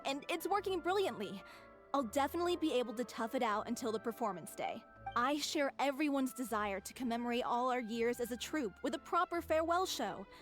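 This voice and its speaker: A woman voicing an earnest, heartfelt actress from a theater troupe in a game. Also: sits high.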